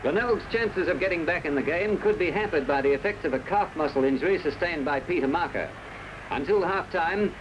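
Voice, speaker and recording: A man with an Australian accent narrating a scripted 1970s sports newsreel in formal, measured phrasing. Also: fairly high pitch. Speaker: newsreel commentator. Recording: dull, noisy old newsreel soundtrack.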